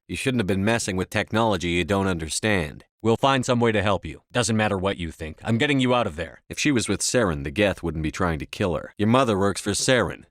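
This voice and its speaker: A man's voice: fairly low pitch, fairly bright tone, no room sound, studio quality. A man playing a resolute, authoritative military commander in a video game, speaking in a firm, terse way. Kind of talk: video game voice acting, scripted dialogue lines.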